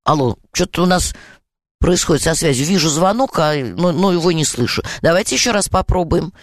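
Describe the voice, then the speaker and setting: A woman's voice: on the low side, animated, dry recording. Woman with a moscow accent, radio call-in host, hesitant and stumbling, dry close studio sound. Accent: Moscow Russian. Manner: hesitant, full of drawn-out fillers and stuttered starts.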